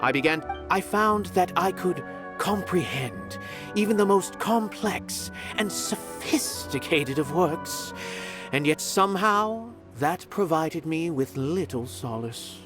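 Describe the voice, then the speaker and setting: An actor playing a haughty, eloquent male art connoisseur in a video game, speaking in a theatrical, grandiloquent way. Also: on the high side. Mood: lofty, reflective. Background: game music.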